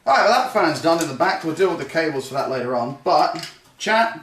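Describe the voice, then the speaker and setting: A man's voice: fairly high pitch, sing-song, fairly quick, fairly bright tone. A young man chatting casually to his stream viewers as he builds a computer, rambling and correcting himself as he goes. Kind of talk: casual live-stream chat. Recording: roomy, slightly distant, faint noise.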